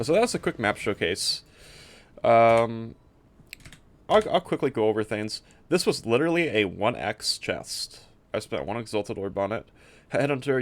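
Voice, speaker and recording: A man giving casual gameplay commentary as a streamer, offhand and a little hesitant, thinking aloud as he goes. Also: fairly low pitch. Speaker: streamer showing a game character build.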